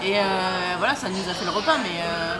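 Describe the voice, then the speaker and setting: A woman's voice: mid-range voice, lively intonation, fast, rough recording. A woman traveller answering questions in a casual, chatty way. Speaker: tour group traveller being interviewed. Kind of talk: casual chat. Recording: loud crowd in large indoor public space. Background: busy crowd chatter.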